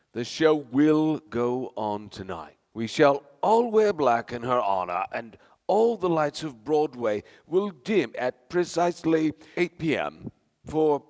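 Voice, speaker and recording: A grandiose aging stage star, pompous and fond of drink, with stately swooping delivery. Radio play style, ringing with long hall reverb. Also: male voice, medium pitch. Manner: theatrical, pompous, stately oratory. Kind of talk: theatrical radio play acting.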